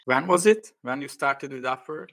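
A man's voice: mid-range voice, lively intonation, normal speed, gravelly, sharp tone. A man hosting a podcast interview in a casual chat, with many hesitant fillers. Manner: many "uh" fillers, loose phrasing. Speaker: podcast host.

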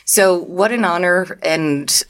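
A woman's voice: on the low side, takes it slowly, a bit bright. A woman hosting an interview, affirming her guest enthusiastically and leading into questions. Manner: affirming, enthusiastic, frequent "you know". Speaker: interview host.

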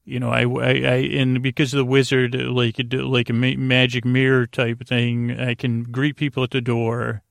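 A man with a creaky voice hosting a bedtime podcast, meandering and halting through a rambling monologue with pauses and tangents. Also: medium pitch, completely flat delivery, dry recording, clean studio sound. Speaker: podcast host. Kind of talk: rambling bedtime monologue to help listeners sleep.

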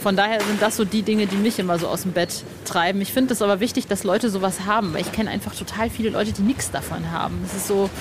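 A woman's voice: mid-range voice, steady tone, fast talker, few pauses. A woman from Ostwestfalen in Germany chatting casually as a podcast interviewer, blunt and informal. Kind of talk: casual chat. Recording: clear ambient background noise.

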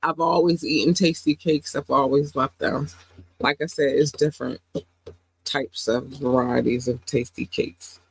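A woman hosting a podcast, chatting casually and affectionately and jumping up into high squeals when she greets people. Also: fairly even intonation, unhurried, vocal fry, slightly rough, rough recording. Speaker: podcast host. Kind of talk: casual podcast chat with listener shout-outs.

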